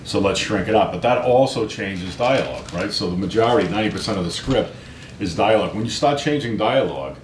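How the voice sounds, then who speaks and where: Deep-voiced American man telling casual stories. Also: fast talker. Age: middle-aged. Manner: self-deprecating, chatty, peppers talk with 'right?' and 'you know'. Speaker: author interviewed as guest. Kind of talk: casual anecdotal storytelling in an interview. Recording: roomy echo, low rumbling background noise.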